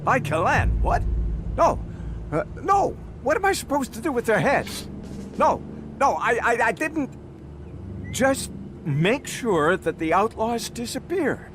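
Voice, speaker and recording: Game official, male, high voice, very animated, fussy, anxious, easily flustered. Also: takes it slowly, background noise, heavily acted. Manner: flustered, stammering when rattled. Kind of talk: video game dialogue acting.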